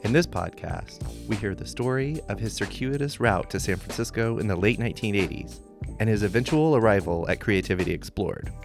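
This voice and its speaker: Podcast host guy, low gravelly voice, faint music under it. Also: expressive, a little fast. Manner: friendly, brief encouraging responses. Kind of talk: podcast intro narration and interviewing.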